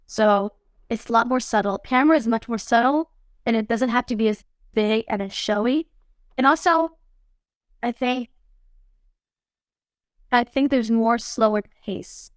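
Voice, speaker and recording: A woman's voice: fairly high pitch, a little slow, pauses often, clean tone, no echo, clean studio sound. A young adult woman, an actress being interviewed, talking casually and animatedly, often saying "you know what I mean". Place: studio podcast set.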